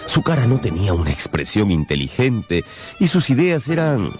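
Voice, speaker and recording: A man narrating a literary radio drama as a storyteller, with dramatic pauses and emphasis. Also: mid-range voice, big pitch swings, darkish tone. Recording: music behind the voice.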